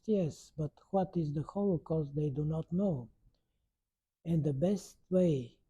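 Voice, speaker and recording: Very old man, slow and husky. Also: fairly high pitch, mellow. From Bulgaria. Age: 90s. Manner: halting, searching for words, earnest. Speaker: Holocaust survivor interviewee. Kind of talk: oral history interview.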